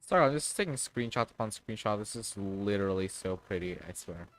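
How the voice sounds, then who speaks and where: A man's voice: lowish voice, lively intonation, fairly quick, fairly bright tone. A man reacting casually on a game livestream, making informal asides as he plays.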